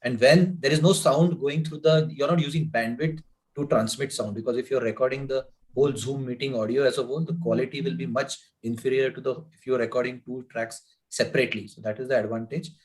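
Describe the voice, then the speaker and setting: A man on a video call sharing practical advice, talking through it with quick self-corrections. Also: medium pitch, fairly even intonation, rough recording. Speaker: club member. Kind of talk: online meeting discussion.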